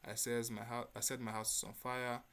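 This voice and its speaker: A man's voice: medium pitch, level tone, a little fast, fairly bright tone. A man giving a spoken demo presentation as a developer showing his project.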